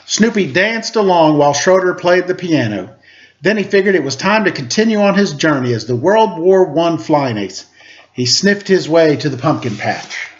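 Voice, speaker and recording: Animated man reading a picture book. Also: fairly high pitch, normal speed. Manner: animated, expressive read-aloud. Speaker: storyteller for children. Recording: slightly dull lo-fi recording.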